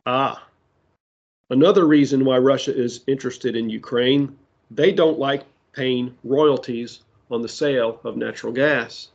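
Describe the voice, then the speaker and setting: A man preaching a sermon that ties prophecy to current events, speaking deliberately with side remarks, his voice giving out. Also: mid-range voice, vocal fry, lo-fi sound. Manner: slow, deliberate delivery with asides. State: losing his voice.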